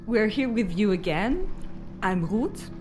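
A woman's voice: medium pitch, animated, takes it slowly, darkish tone. A woman co-hosting, delivering a scripted podcast introduction. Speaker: co-host. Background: music.

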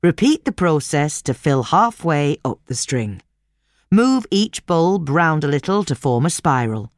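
Low-voiced woman narrator, unhurried and expressive. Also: no echo, studio quality. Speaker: narrator reading a gardening magazine article. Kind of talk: scripted narration, instructional read-aloud.

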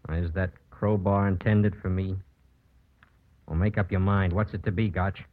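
An actor playing a steady, principled young county attorney, easygoing but firm, in a 1950s radio drama. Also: male voice, low voice, normal speed, lightly acted. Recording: narrow old radio broadcast, faint noise.

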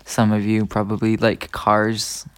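A young man from Spain answering interview questions in a casual, joking way, often pausing with fillers. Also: fairly low pitch, completely flat delivery, a little hiss or hum. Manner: laid-back, joking, hesitant with fillers. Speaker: guest interviewee. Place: indoors at a family home.

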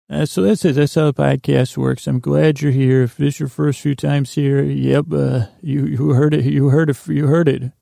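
Male bedtime podcast host: very creaky, flat delivery, meandering and halting, lulling monologue. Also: medium pitch, no echo, studio quality. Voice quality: gravelly, creaky. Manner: meandering, halting, self-interrupting. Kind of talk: rambling bedtime monologue to lull listeners to sleep.

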